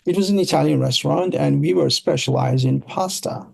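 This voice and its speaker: Gravelly middle-aged Turkish-accented man. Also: fairly high pitch, dry recording. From Turkey. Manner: halting, searching for words. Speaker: interview guest, product designer. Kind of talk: casual conversational storytelling.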